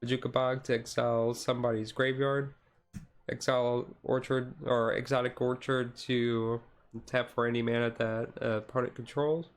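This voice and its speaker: A man streamer giving a casual, chill card-deck walkthrough, halting and self-correcting, his voice a little tired. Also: mid-range voice, level tone. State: voice tiring. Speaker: streamer, card game hobbyist.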